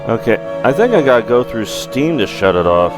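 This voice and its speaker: A middle-aged man hosting a gaming livestream, chatting casually in a rambling, halting way. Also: mid-range voice, sing-song, over music.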